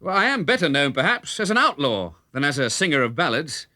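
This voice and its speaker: A man's voice: fairly high pitch, sing-song, fairly quick, pushed and bright, pitched above the performer's own voice. A man voicing a bold, cheeky outlaw hero in a children's storybook, declamatory and teasing. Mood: confident. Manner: gleeful, taunting, declamatory. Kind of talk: children's storybook character reading.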